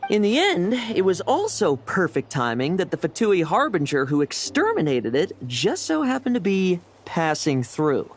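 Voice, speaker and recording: A wry game character with a slightly low voice. Her pitch swings widely as she makes pointed remarks. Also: wildly swooping pitch, moderate pace, few pauses.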